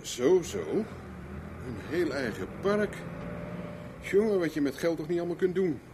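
An actor playing a flippant, flirtatious private investigator in a radio drama, with wry, teasing banter. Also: male voice, fairly high pitch, very animated, measured pace, background noise. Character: flippant, flirtatious male private investigator, world-weary charmer.

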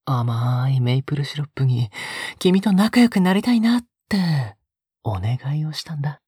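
An actor plays a young man doting on his pet in an audio drama, teasing and possessive. His voice is very breathy and husky and swings widely between coos and whispers. It is captured close and dry. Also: medium pitch, big pitch swings, moderate pace, dark tone, dry recording. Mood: coaxing, possessive. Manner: breathy, cooing, often dropping into whispers. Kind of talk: intimate Japanese audio drama acting.

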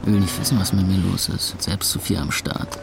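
An actor playing a weary, sardonic young man from the club scene, narrating his own story in a deadpan, literary way. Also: sits low, fairly quick, creaky, background noise. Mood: gloomy, deadpan. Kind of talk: first-person scripted narration in audio drama.

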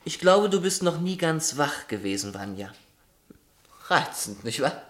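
Playful, wry young brother. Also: male voice, a little high, sing-song, unhurried. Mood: wry, reading aloud. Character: teasing, affectionate, easygoing young elder brother. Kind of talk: German radio play acting.

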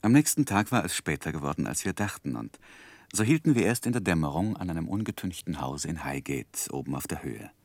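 An actor narrating a 1960s German radio play as a man looking back, in a measured, reflective literary style. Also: a bass voice, sing-song, gravelly, darkish tone, no room sound, full character voice. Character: David Copperfield as reflective adult narrator.

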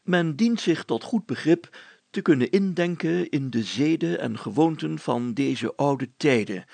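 Wanted: a man to play a pompous, husky-voiced storybook mayor, older, fussy, hesitant and stammering, recorded close and dry. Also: fairly high pitch, animated, measured pace, a little mellow, no room sound, heavily acted. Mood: pompous, flustered. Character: fussy, flustered, pompous older male mayor reading aloud. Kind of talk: children's audio story character voice.

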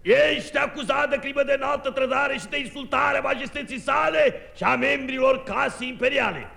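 An actor playing a bullying, exasperated police interrogator, sharp, mocking and impatient, in a 1950s radio drama. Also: male voice, very high voice, steady tone, vocal fry, full character voice. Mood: menacing.

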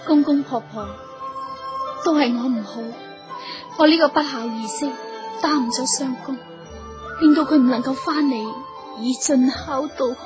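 A woman playing a gentle, deferential young woman of a noble household in a Cantonese radio drama. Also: high-pitched, measured pace, long pauses, music in the background. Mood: tearful, consoling. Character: gentle, self-blaming young noblewoman or her maid.

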